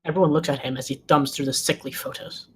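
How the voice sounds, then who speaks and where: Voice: mid-range voice, fairly even intonation, fast talker, husky. A screenplay narrator with a mid-range voice reads out stage directions. The delivery is scripted, describing scenes and actions for a table read.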